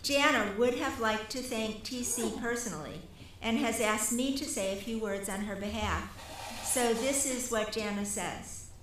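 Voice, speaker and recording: A woman's voice: a little high, measured pace. A woman giving a formal, prepared acceptance speech as a professor at a ceremony. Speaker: professor accepting an award on another's behalf. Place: large ceremony hall. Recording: echoey hall, faint noise.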